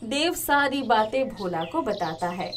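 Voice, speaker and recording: A woman's voice: a little high, very animated, a little slow. Female narrator, scripted folk-tale storytelling, noisy recording, birdsong behind.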